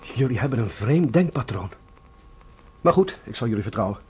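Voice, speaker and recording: An actor playing a captured alien sentry in a human body, fearful and indignant, speaking formally in a Dutch radio drama. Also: male voice, medium pitch, big pitch swings, moderate pace, darkish tone. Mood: wary. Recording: noisy radio drama recording.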